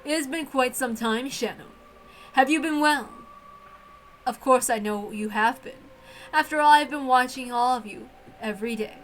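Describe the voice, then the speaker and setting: High-voiced mysterious witch, acted by a woman. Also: normal speed, slight background noise, close to an everyday voice, low-quality recording. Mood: gracious, familiar. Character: mysterious golden butterfly witch, condescending, welcoming.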